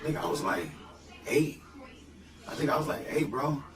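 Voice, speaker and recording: A man with a Detroit accent, a guest rapper telling stories in slangy, animated casual podcast talk. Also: a little high, moderate pace, echoey room, recorded from a distance, noisy background. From Detroit, USA.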